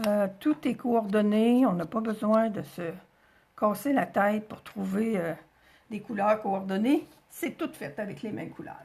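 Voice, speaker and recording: A woman's voice: medium pitch, a little slow, creaky, darkish tone. A woman with a Quebec French accent hosting a craft tutorial, talking informally step by step and thinking aloud as she goes. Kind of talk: casual step-by-step demonstration.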